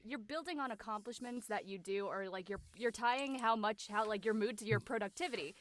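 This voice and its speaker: A woman's voice: medium pitch, fairly quick, fairly bright tone. A woman in casual discussion, thinking aloud conversationally and often saying "like".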